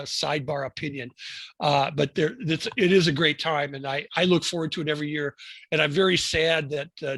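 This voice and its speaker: A man giving an informal slide presentation to a hobby club, speaking in a halting way and often rephrasing himself. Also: a little high, sharp tone, breathy edge, no echo. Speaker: presenter at an amateur radio club.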